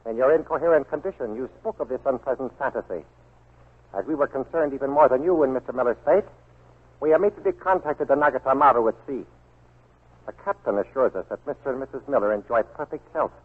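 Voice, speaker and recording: Through a scratchy, thin 1940s radio recording comes an old Japanese baron, played by a man. He is husky, measured and formal, all cool politeness and quiet menace. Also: medium pitch, narrow, tinny audio, close to an everyday voice. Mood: coolly polite menace. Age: older.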